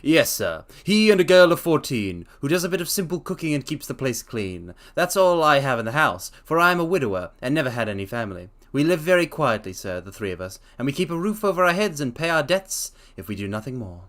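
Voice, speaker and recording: A man's voice: a little high, big pitch swings, a little fast, few pauses, a bit bright. A rambling middle-aged shopkeeper, read by a man, tells his troubles in an earnest, slightly aggrieved way. His voice rises and falls widely as he goes. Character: middle-aged male pawnbroker, plain and rambling.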